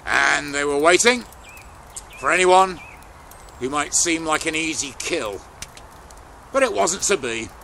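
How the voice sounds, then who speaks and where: Slow, gravelly male storyteller, animated pitch. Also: a little hiss or hum. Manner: dramatic, deliberate phrasing with pauses. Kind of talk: oral folktale storytelling, unscripted.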